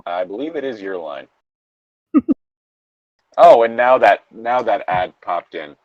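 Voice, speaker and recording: A man's voice: lowish voice, lively intonation, measured pace, dry recording. A man reading fan fiction aloud with casual commentary and wry, joking asides, recorded close on slightly lo-fi audio. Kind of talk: casual comedic commentary and read-along.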